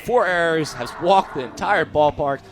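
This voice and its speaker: Young American male color commentator, lightly high voice. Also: expressive, moderate pace, slight background noise. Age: young adult. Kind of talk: live radio sports commentary, analysis between plays.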